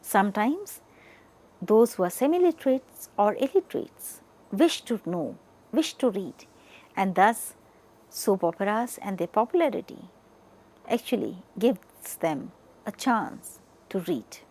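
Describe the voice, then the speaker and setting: A woman with an Indian accent delivering an educational lecture in a deliberate way, stressing the starts of phrases and letting the ends trail off. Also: medium pitch, animated, a little mellow, poor audio. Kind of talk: educational lecture, partly read from notes.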